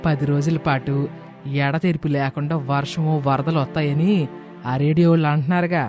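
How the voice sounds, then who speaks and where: A man's voice: fairly high pitch, steady tone, brisk, lightly acted. A man voicing a stubborn, scornful mother-in-law in a cartoon moral story, taunting and commanding. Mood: scornful, challenging. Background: background music.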